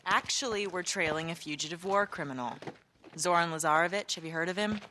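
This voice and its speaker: An actress playing a tough, skeptical journalist in a war zone, blunt and sardonic, for a video game. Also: female voice, fairly low pitch, a little fast, bright, forceful tone. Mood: earnest. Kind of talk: naturalistic video game cutscene acting. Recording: faint background noise.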